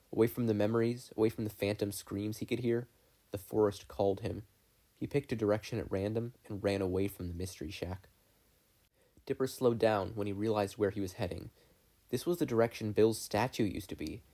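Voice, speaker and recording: A man with a low, dark-toned voice narrates a piece of fiction. His delivery is that of an audiobook reader, with a relaxed, mellow sound. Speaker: podfic reader. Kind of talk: scripted story narration.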